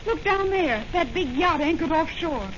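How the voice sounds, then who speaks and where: A woman playing a plucky, eager young pilot in a 1940s radio serial, with a brisk, pert delivery. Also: very high-pitched, expressive. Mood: eager, anxious. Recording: noisy, muffled 1940s radio recording.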